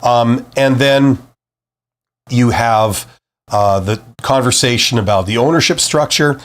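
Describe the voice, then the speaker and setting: A man's voice: medium pitch, slow pace. Man recovering from flu, teaching casually. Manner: relaxed, talks directly to viewers with frequent "uh" fillers. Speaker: host and business teacher. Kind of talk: casual live-stream lecture.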